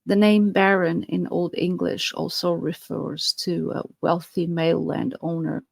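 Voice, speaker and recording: A woman's voice: lowish voice, flat delivery, a little slow, vocal fry, darkish tone, no room sound. A woman with a Portuguese accent, Portuguese her first language, hosting a podcast and explaining earnestly with some fillers and restarts. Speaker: podcast host. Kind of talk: podcast monologue.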